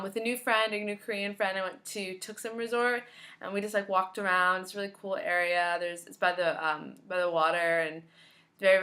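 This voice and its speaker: A woman in her twenties chatting to camera in a vlog, slightly hoarse from losing her voice, with lots of ums and likes. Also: medium pitch, sharp tone. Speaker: vlogger. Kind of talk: casual chat to camera.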